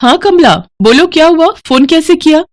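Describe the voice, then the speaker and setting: A woman's voice: high voice, normal speed, pitched above the performer's own voice, lightly acted. A woman voicing a busy young city wife and employer who gives instructions in a moral story cartoon. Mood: brisk, instructing.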